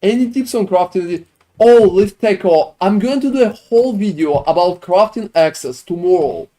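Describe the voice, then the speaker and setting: A man's voice: sits high, expressive, normal speed, dark tone. A man with a French and Swedish accent, chatting casually on a game live stream, answering viewers in a rambling, filler-heavy way.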